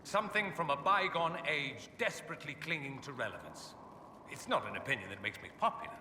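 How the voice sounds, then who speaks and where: An actor playing a witty, haughty mage from a fantasy empire, speaking with suave, theatrically arch sarcasm in a video game. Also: male voice, fairly high pitch, animated, fairly quick, sharp tone, far from the mic. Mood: reflective, sincere. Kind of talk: video game character dialogue. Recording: echoey hall, clear background ambience noise.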